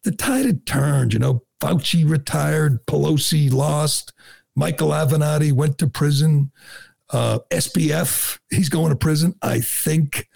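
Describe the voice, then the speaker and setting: A middle-aged man holds forth as a talk radio host. His voice has a heavy gravelly fry and a husky edge. He speaks in a sarcastic, mocking tone, with lots of "uh" and self-corrections. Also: a little high, a little slow, a little mellow. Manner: sarcastic, mocking, with frequent filler "uh" and restarts. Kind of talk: opinionated talk radio monologue.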